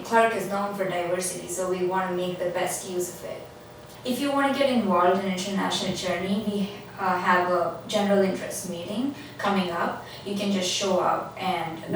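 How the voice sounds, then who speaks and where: A woman's voice: medium pitch, a little hiss or hum. Earnest young woman from India, echoey. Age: young adult. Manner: earnest, explaining, a few hesitations. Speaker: international student. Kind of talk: casual interview. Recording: echoey room, mic far off.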